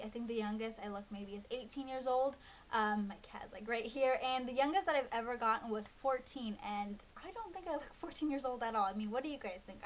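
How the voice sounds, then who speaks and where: A woman's voice: on the high side, low-quality recording. Chatty young woman vlogger, light hiss. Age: 20s. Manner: rambling, many ums and likes. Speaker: beauty vlogger. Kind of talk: casual chat to camera. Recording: faint hiss.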